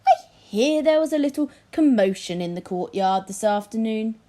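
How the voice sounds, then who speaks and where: A woman's voice: fairly high pitch, lively intonation, moderate pace, dark tone, lightly acted. Woman voicing a complacent, regal storybook king. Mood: commanding. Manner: regal, mildly incredulous. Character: complacent, easily flattered storybook king, male. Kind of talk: children's storybook character voice.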